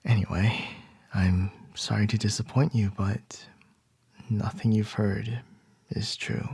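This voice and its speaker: A man acting as a composed male CEO boss in an ASMR boyfriend roleplay, murmuring softly with a breathy, whispery voice and a gently wry manner. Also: fairly low pitch, fairly even intonation, takes it slowly, no echo, heavily acted. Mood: amused, reassuring.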